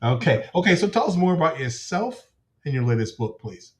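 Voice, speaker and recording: A man's voice: fairly high pitch, very animated, brisk. A man hosting an interview show, eager and chatty, rambling a little as he welcomes and questions his guest. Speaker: podcast host.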